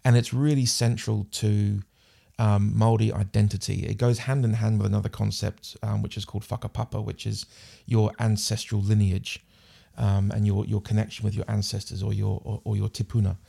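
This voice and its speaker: A man's voice: lowish voice, little pitch movement, normal speed, vocal fry, warm tone, slightly rough. A man with a New Zealand accent, a podcast guest talking thoughtfully in casual conversation, often restarting and repeating words. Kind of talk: casual interview chat.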